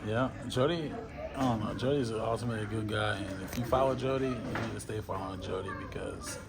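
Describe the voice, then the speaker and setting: Gravelly man in loud noise. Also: on the low side, a little fast. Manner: relaxed, agreeable reminiscing. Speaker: friend of the host.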